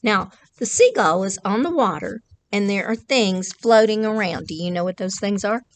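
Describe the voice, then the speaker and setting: A woman, reading a picture book aloud to children and pausing to ask them simple questions. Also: medium pitch, expressive. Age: 60s. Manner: questioning, explains simply to young listeners. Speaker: children's librarian reading at storytime. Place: indoors, small decorated library room.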